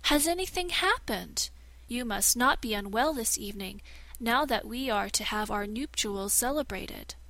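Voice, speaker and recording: A poised storybook princess speaks in calm, courtly tones that hide a cold, calculating heart, as a woman reads her. Her voice is fairly high, and the recording is close and dry. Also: normal speed, no echo. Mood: concerned. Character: cool, calculating young princess.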